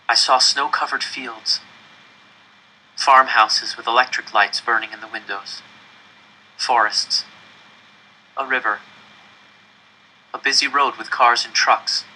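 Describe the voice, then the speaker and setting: A man reading a first-person novel aloud as a dramatic, deliberate and expressive narrator. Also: mid-range voice, slight background noise, poor audio. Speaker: audiobook-style reader.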